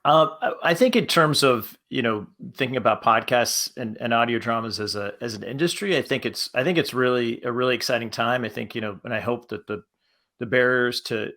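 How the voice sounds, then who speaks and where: A man talking casually as a panelist, in a halting manner that circles back over his phrases. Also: mid-range voice, bright, forceful tone. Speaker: panelist, podcast creator.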